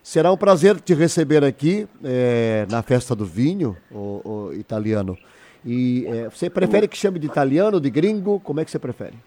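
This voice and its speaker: A man's voice: mid-range voice, expressive, normal speed, dark tone. A man hosting an interview, asking friendly questions and prompting with short affirmations. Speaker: interviewer, host.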